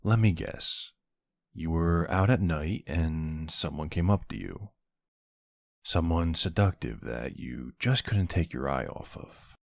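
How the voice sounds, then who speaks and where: A man playing a centuries-old vampire hunter in an ASMR roleplay, gruff, wry and suspicious, questioning someone in a brusque, intimate way. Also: extremely low pitch, lively intonation, darkish tone, no echo, studio quality, full character voice. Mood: probing, guarded. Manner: brusque, wry, slow interrogating delivery with pauses. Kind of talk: ASMR roleplay, intimate one-sided dialogue.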